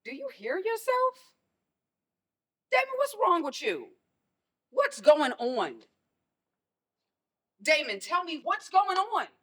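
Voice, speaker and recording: A woman's voice: extremely high pitch, big pitch swings, takes it slowly, long pauses, full character voice. A woman playing a stern, protective mother in a stage play, scolding and pressing with pointed questions. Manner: forceful, scolding, rhetorical questions.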